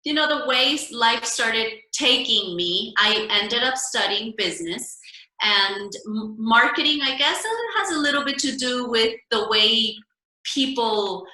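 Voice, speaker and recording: A middle-aged woman coach, a podcast guest, telling her story in an earnest, steady way over a remote call from home. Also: fairly high pitch, unhurried. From United States. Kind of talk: casual podcast interview. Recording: echoey room.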